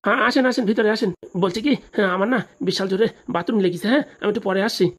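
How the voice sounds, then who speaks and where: A woman's voice: medium pitch, very fast, close to an everyday voice. A woman voicing a sheepish older man in a comic cartoon, nervous and evasive in manner. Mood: embarrassed, evasive. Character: flustered, sheepish older neighbour man avoiding blame.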